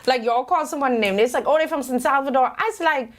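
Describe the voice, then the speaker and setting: A Bahamian woman co-hosting a call-in radio show, playful and teasing in casual banter. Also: high voice. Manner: playful, teasing, quick to laugh.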